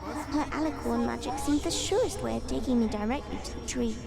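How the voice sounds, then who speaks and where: A woman's voice: fairly high pitch, brisk, creaky, loud music behind the voice. A woman playing a wise, formal seed spirit, speaking in a solemn, oracular way in an audio drama. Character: wise, solemn female magical seed spirit, ancient yet newborn. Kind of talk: fan audio drama acting.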